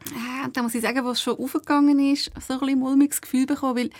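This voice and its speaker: A woman with a Swiss German accent, a podcast guest casually telling an anecdote in an animated way. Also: high-pitched, fast talker, no room sound.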